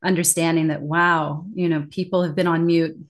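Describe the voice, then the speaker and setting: A woman talking in a conversational interview as a communication trainer, animated and emphatic, laughing often. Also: low-pitched, moderate pace.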